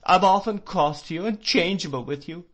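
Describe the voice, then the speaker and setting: A distraught young woman pleads through sobs, her voice pitched up high and loud with distress, as a male storyteller puts her on. She sounds desperate and heartbroken in a storybook reading. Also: normal speed. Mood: sobbing. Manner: sobbing, pleading. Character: young woman, tearful and remorseful.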